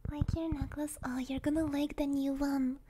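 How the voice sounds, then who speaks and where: A young woman, a native Russian speaker, chatting softly and hesitantly in a gentle, playful ASMR livestream style, often dropping into a whisper, close on the microphone. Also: sits high, level tone, normal speed, noisy background. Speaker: ASMR streamer. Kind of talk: soft ASMR livestream chat. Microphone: close binaural microphone.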